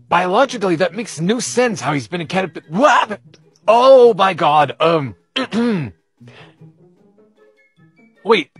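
A man playing an awkward, nerdy, defensive bug watcher who talks in a halting mutter. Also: on the high side, a bit bright, breathy edge, exaggerated voice. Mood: muttering, puzzled, then amazed, awkward.

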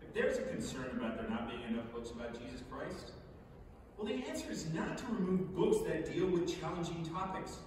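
High-voiced man speechmaking in an echoey theatre. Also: lively intonation, a little fast, background noise. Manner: wry, conversational oratory. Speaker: speaker at a podium. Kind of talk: staged reading of a persuasive speech. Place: large theatre stage, indoors. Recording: echoey theatre hall from far off.

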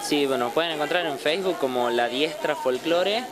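A young Argentine man with a fairly high voice talks about his band in an easygoing, casual way. He is being interviewed in a bar. Very loud music plays behind him. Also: normal speed. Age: young adult. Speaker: band guitarist.

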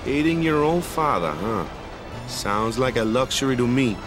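A man's voice: medium pitch, expressive, moderate pace, close to an everyday voice. An actor playing a laid-back, defensive but good-hearted young male athlete in a video game, talking colloquially with tag words. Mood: resigned, thoughtful. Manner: colloquial, tags sentences with "eh", "ya" or "huh". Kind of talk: video game cutscene acting. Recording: music behind the voice.

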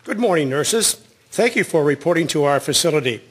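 A man's voice: a little high, lively intonation, a little fast, husky. A man playing a businesslike army instructor in a radio drama, giving formal instructions. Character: brisk, businesslike male army gas-school instructor.

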